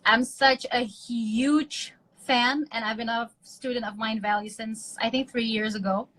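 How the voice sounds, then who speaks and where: An Indonesian-accented woman hosting a phone live stream, chatting casually and gushing with excitement. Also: a little high, unhurried, rough recording. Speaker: host of an Instagram live. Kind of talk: casual live-stream chat switching between two languages. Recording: phone live stream with faint ambient noise.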